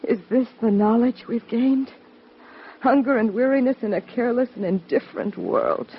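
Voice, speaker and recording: An actress playing Eve, the first woman, in an old radio drama, speaking in a hushed, urgent way. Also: on the high side, moderate pace, breathy edge. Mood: weary. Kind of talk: 1950s radio drama acting. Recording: dull old radio broadcast.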